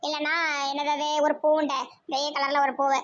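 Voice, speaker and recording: A woman voicing a bossy, sharp-tongued village mother in an amateur cartoon comedy, chattering mockingly with a southern Tamil Nadu accent. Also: high voice, completely flat delivery, moderate pace, dull audio, pitched below the performer's own voice, natural voice. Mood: teasing.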